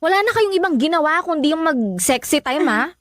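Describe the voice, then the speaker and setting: A woman's voice: sits high, animated, brisk, fairly bright tone, dry recording. An actress playing a blunt young woman who scolds her best friend, in a radio drama. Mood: scolding.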